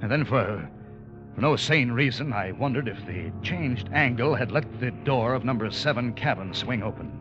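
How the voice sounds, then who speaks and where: An actor playing a grave, weary ship's officer in a vintage radio adventure drama, with dramatic, deliberate delivery. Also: male voice, medium pitch, lively intonation, natural voice. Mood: haunted, weary. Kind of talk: 1940s-50s radio adventure drama acting. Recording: dull vintage radio recording, music behind.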